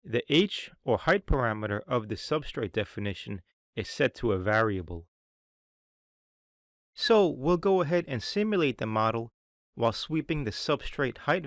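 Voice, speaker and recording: A man's voice: mid-range voice, expressive, unhurried. Man narrating a scripted technical tutorial. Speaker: software demo narrator.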